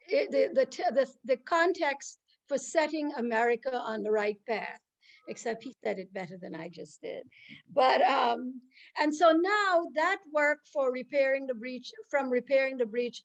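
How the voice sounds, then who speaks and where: A woman's voice: sits high, low-quality recording. A woman from Guyana introducing a speaker in an online conversation, earnest and stopping often to search for words.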